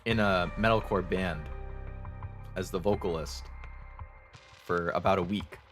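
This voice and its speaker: A young man streaming with a sore throat, chatting with viewers in a relaxed, rambling way full of fillers. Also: low-pitched, unhurried, faint music behind. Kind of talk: casual chat with viewers.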